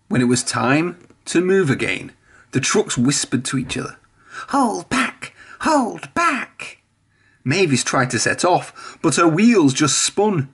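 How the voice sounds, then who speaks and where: A man's voice: on the high side, lively intonation, unhurried, slightly rough. A man reading a children's storybook aloud, narrating expressively. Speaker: storybook reader.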